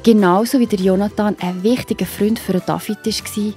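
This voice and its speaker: A woman's voice: medium pitch, steady tone, normal speed, dark tone. A woman with a Swiss German accent narrating a scripted Bible story for children. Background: music.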